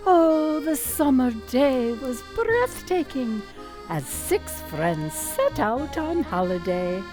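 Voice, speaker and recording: A woman narrating a radio play in a theatrical storytelling style, savoring each descriptive word. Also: medium pitch. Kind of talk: dramatic scripted storytelling for radio. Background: music.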